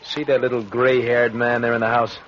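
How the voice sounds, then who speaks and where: An actor plays a ruthless young escaped officer in an old-time radio drama. The voice sits in a mid range and stays cold, commanding and threatening. The sound is dull, with faint hiss. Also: male voice, medium pitch, level tone, fairly quick, lightly acted. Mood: menacing. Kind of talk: 1940s radio acting.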